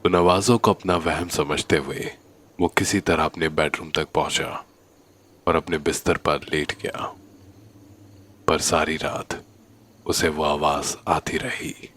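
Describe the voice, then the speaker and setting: Guy with a low voice reading a scary story, really slow and suspenseful. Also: fairly even intonation, fairly bright tone. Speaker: horror story narrator. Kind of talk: scripted horror story narration in Hindi.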